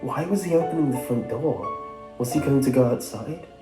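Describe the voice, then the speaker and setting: A man's voice: medium pitch, normal speed. A man reading a scripted first-person horror story as its narrator. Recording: roomy echo under music. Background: music.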